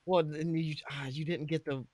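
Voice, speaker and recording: A man co-hosting a podcast in casual comedic chat, animated and profane, often acting out imagined quotes. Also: fairly high pitch, normal speed. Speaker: podcast co-host.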